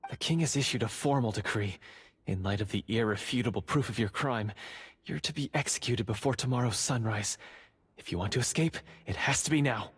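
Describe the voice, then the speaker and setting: An actor playing a secretive male dungeon guard who is a covert ally, speaking in a hushed, urgent, earnest way. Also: mid-range voice, a little fast, husky. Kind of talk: game voice acting.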